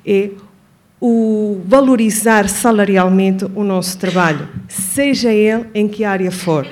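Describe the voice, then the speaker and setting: A woman's voice: medium pitch, darkish tone. A woman from Venezuela with a Venezuelan accent and Spanish as her first language, making a formal, earnest, long-winded point as an audience member at a public forum. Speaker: audience member asking a question, administrative worker. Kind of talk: spoken question at a public forum.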